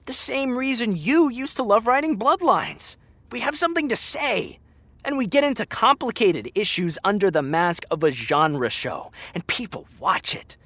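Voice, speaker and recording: A man's voice: high-pitched, animated, normal speed, fairly bright tone, no echo, exaggerated voice. A man playing a hurt, fiery actor fed up with an old friend, speaking emphatically and sarcastically in a naturalistic audio drama. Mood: earnest, impassioned.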